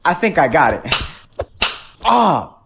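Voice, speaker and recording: Man acting eager young male underling, highish swooping voice, stammering, deferential, audio drama, noisy background. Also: sing-song, slow pace, vocal fry, heavily acted. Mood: eager, nervous. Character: eager, nervous boy underling in awe of his master.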